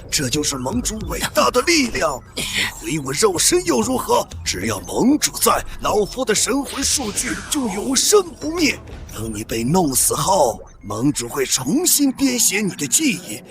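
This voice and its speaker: A man voicing a sinister, fanatical old cultivation elder in an animated comic, with a creaky, gloating, theatrical delivery. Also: high-pitched, measured pace, music in the background, full character voice. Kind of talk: dramatic animated-comic dialogue.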